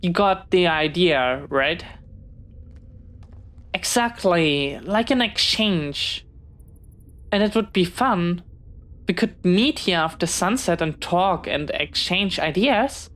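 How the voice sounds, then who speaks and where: A woman plays a young pirate captain's child in an audio roleplay, with a lowish voice and an unhurried pace. The character is bookish and eager to be friends, chatting in careful, well-spoken phrases with a touch of hesitance. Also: expressive, a bit bright. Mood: curious, friendly. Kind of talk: one-sided audio roleplay acting.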